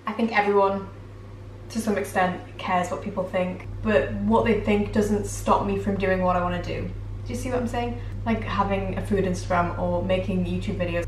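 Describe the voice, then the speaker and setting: A woman's voice: medium pitch, darkish tone. A woman in her twenties with a British accent, chatting casually and self-deprecatingly to camera as a vlogger. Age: 20s. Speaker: vlogger answering viewer assumptions while baking. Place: home kitchen, indoors. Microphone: camera mic at a short distance. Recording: roomy, distant mic, faint background noise.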